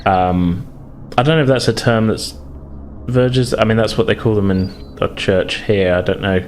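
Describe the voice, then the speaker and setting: Casting: male game master, lowish voice, informal improvised narration with hesitations, faint noise behind. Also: moderate pace. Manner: hesitant, informal, piecing scenes together.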